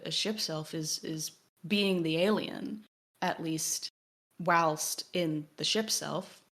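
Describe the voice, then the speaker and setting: A woman's voice: on the low side, fairly bright tone. A woman co-hosting a podcast, discussing a game analytically in a thoughtful, academic way. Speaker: podcast co-host.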